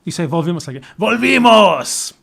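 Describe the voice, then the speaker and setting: A man's voice: a little high, normal speed, a little mellow. A man with an Australian accent chatting casually and off the cuff as a livestreamer, in a blunt, opinionated, sardonic manner. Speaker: livestreamer answering chat questions. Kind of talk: casual off-the-cuff political chat.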